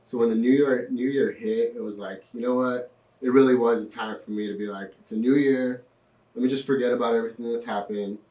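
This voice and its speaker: A young man in his twenties, a podcast guest telling his story in a relaxed way with lots of fillers. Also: medium pitch, fairly quick, recorded from a distance. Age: 20s. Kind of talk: casual interview. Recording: echoey room, off-mic.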